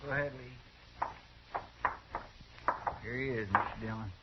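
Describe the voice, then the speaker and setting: An actor playing a loyal, excitable deputy in an old radio western, folksy, earnest and easily riled. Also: male voice, medium pitch, measured pace, natural voice. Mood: businesslike. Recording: dull, faintly noisy old radio recording.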